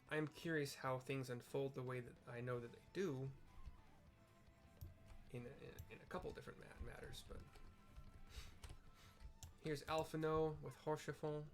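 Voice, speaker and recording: A man narrating a fantasy game's story quests aloud for his listeners. He breaks off into chatty, self-amused asides in an ordinary mid-pitched voice. The tone is loose and casual throughout.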